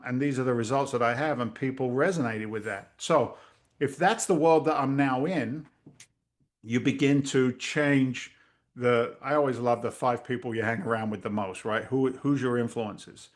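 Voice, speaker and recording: A middle-aged man, a real estate investor telling stories in a casual interview over a video call, lively and blunt, acting out voices. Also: medium pitch, moderate pace. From Massachusetts. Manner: animated storyteller, acts out quoted voices, swears casually.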